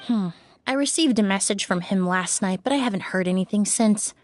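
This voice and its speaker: A woman voicing a composed, courteous young investigator in a video game, speaking in a measured, precise and polite way. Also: medium pitch, dry recording, lightly acted. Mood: measured, analytical. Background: background music.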